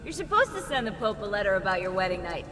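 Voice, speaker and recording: An actress playing a quick-witted young woman teammate in a game, playful and flippant in her banter. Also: mid-range voice, very animated, a bit bright. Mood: teasing. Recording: reverberant room, faint music behind.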